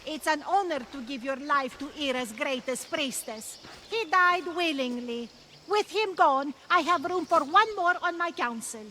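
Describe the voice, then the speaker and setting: A woman's voice: high voice, animated, unhurried, sharp tone, a little hiss or hum, heavily acted. A woman playing a sinister, fanatical cult priestess in a video game, grandiose and taunting. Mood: smug, seductive. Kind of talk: dramatic video game villain acting.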